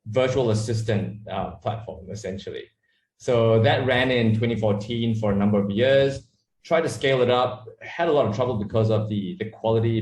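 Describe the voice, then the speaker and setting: Relaxed founder with echoey sound. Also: male voice, lowish voice. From Malaysia, raised in Australia. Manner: relaxed, rambling with many fillers. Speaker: startup founder, guest. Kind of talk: casual interview answers. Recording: echoey room.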